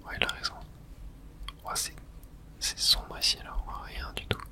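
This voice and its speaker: A person whispers gameplay commentary for ASMR, speaking close to the microphone. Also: moderate pace. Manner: whispering throughout, unvoiced. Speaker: gaming ASMR streamer. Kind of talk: whispered ASMR gameplay commentary, reading game subtitles aloud.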